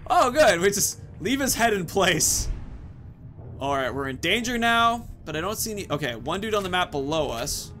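Higher-pitched man rambling through game commentary. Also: big pitch swings, normal speed, pushed and bright, soft background music. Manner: rambling, informal, swears mildly. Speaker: gaming video host. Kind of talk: casual gameplay commentary.

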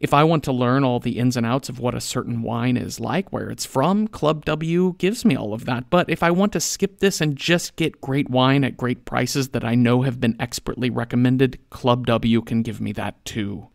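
A man's voice: mid-range voice, normal speed, creaky, hardly any pauses, no echo, studio quality. A man reading a podcast sponsor ad in a casual, wry, conversational way. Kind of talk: conversational scripted ad read.

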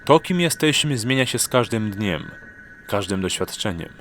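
Guy doing a wise warm old-world leader for a fantasy audiobook, solemn, low voice, faint noise behind. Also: animated, moderate pace. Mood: reassuring. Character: wise, gentle male exarch, grave and reassuring.

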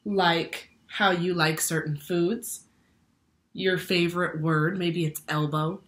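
A woman's voice: on the low side, fairly even intonation, takes it slowly, fairly bright tone. A young woman reading a children's picture book aloud like a teacher, expressively, asking the listeners questions. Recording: roomy indoor echo.

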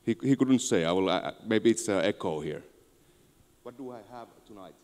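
A man in his thirties with a Finnish accent, giving an informal, somewhat halting conference presentation on a handheld microphone. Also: fairly low pitch, animated, darkish tone, slight background noise. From Finland. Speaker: conference speaker, tech entrepreneur. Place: on stage in a large auditorium. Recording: echoey large hall, long reverb.

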